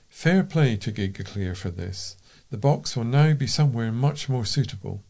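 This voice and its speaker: A man's voice: on the low side, darkish tone. A man reading scripted local news as a volunteer news reader.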